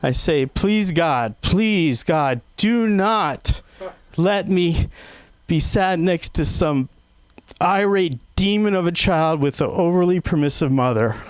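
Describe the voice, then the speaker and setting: A man doing stand-up at an open mic on a club stage. His voice is smooth and resonant and sits a little high, and he rambles through self-deprecating jokes with lots of fillers. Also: animated, slow, no room sound. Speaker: stand-up comic.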